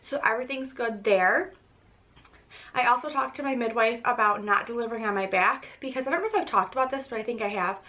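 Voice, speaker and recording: A woman's voice: a little high, a little fast, sharp tone. A young pregnant woman giving a casual vlog update, congested and a little short of breath, chatty with frequent ums. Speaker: pregnancy vlogger.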